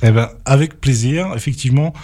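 A man hosting a local radio interview, talking in a hesitant way with many pauses and restarts into a studio microphone. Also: mid-range voice, mellow. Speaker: radio show host.

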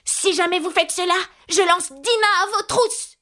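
A woman's voice: on the high side, sing-song, normal speed. A woman voicing a plucky, curious young storybook girl, spirited and given to musing to herself. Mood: indignant. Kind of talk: storybook character reading.

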